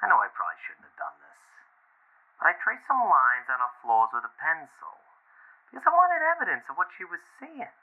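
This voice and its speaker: An actor playing a rattled, hesitant young boyfriend with a gravelly voice, leaving an agitated voicemail in an audio drama. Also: male voice, on the high side, wildly swooping pitch, fairly quick, heavily acted. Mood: worried, rattled. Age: young adult. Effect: phone voicemail. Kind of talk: found-footage audio drama, phone message. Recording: tinny, narrow phone line.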